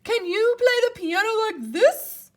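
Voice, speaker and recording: Woman voicing an excitable, very high-pitched storybook toad. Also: measured pace, full character voice. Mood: boastful. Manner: crying out, shouting, dramatic. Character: excitable, boastful, easily frightened male toad. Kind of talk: children's storybook character voice.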